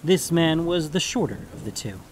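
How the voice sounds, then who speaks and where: A man's voice: on the high side, expressive, normal speed, a little mellow, slight background noise. A man reading scripted fantasy fiction as the narrator of an audio story.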